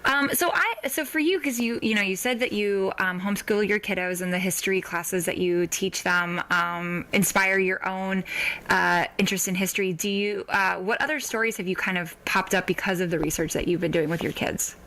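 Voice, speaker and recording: A woman's voice: medium pitch, moderate pace, pushed and bright, poor audio. A woman hosting a podcast interview, chatting in a gushing, giggly, enthusiastic way. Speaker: podcast host interviewing an author.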